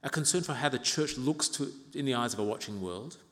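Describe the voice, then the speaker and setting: A man in his fifties from Uganda preaching an expository sermon as a pastor, in a measured, reflective way with pauses and gentle asides. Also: medium pitch, lively intonation. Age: 50s. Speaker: pastor preaching to own congregation. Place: large reverberant church interior. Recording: reverberant hall.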